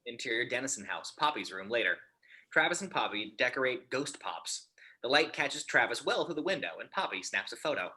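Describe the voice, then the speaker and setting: A man's voice: mid-range voice, very animated, a little fast, pushed and bright. A man narrating the scene headings and action lines of a screenplay at an informal table read. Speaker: narrator of stage directions.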